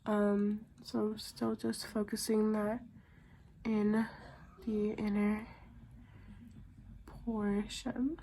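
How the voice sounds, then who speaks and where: A young woman chatting casually through a makeup tutorial, murmuring and trailing off as she works, with a slightly raspy voice from getting over a sickness. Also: medium pitch, level tone, drawn-out words, pauses often, dark tone, slight background noise, rough recording. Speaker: beauty vlogger.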